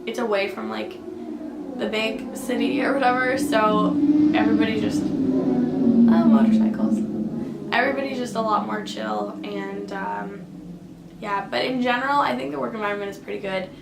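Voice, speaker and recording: A young woman from Canada talks casually through viewer questions, her speech full of likes and ums. Her voice sits a bit high with a gravelly fry. The room is echoey, with a faint hum behind her. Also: steady tone, normal speed. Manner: chatty, lots of fillers and likes. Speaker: air traffic controller answering viewer questions. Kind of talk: casual Q and A vlog.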